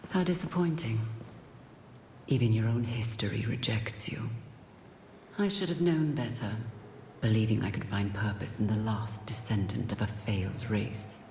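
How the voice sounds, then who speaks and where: A cold, zealous holy warrior. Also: female voice, very deep voice, normal speed, darkish tone. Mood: scornful. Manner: cold, scornful, half-whispered. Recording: echoey with faint noise.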